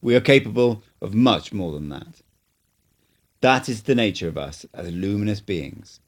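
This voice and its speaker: A patient old sorcerer explains the nature of things in an unhurried, instructive tone, as a man reading an audiobook puts him on. The voice sits on the low side, and the delivery stays measured and cryptic. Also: lively intonation. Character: old male sorcerer teacher, calm, cryptic.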